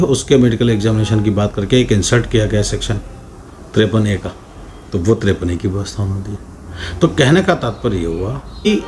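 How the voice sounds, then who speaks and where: A man's voice: lowish voice, darkish tone, a little hiss or hum. A man giving an explanatory legal lecture in a didactic manner, restating points for emphasis and mixing in English legal terms. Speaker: law lecturer.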